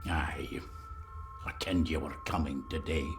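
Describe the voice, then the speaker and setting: An actor playing a blind old Scottish gardener in a video game, speaking in a folksy, ominous way. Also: male voice, rumbling low, steady tone, measured pace, soft background music. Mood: gruff, cryptic.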